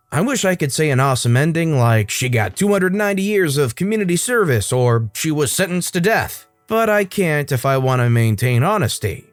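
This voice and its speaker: Lively male story narrator, faint music. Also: on the high side, no echo. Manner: lively, wry asides. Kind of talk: reading internet stories aloud.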